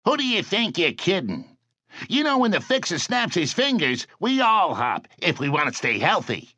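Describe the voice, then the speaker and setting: A man's voice: high-pitched, wildly swooping pitch, moderate pace, sharp tone, no room sound, dull audio, full character voice. A man playing a tough, impatient gangster with a streetwise, bossy manner in a comic-book audio drama. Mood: impatient, scornful.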